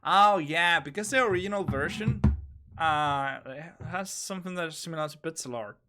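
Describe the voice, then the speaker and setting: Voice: mid-range voice, normal speed, over music. A streamer who is a non-native English speaker, chatting casually and hesitantly while playing a game. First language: not English. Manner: relaxed, hesitant with fillers.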